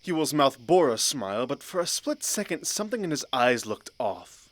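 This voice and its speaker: A man narrating a scripted fantasy audio drama in a measured, literary storytelling manner. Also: medium pitch, sing-song.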